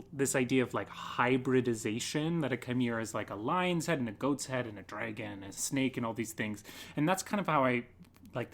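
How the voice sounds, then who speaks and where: A man's voice: medium pitch, a bit bright. A man chatting casually as a theatre artist interviewed on a podcast, with an enthusiastic, playful manner. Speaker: guest, theatre artist and choreographer.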